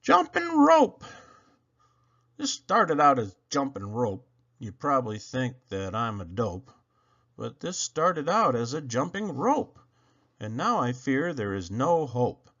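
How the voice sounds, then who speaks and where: A man reading children's poems aloud expressively, with theatrical, playful emphasis and dramatic pauses. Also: medium pitch, huge pitch swings, fairly bright tone, highs cut off. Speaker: storybook reader.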